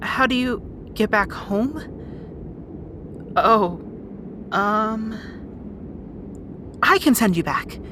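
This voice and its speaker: A woman's voice: on the high side, lively intonation, extremely slow, long pauses, background noise. A woman playing a bossy, guarded young rat princess in an audio roleplay drama, with exasperated, wry asides. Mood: bargaining, wry.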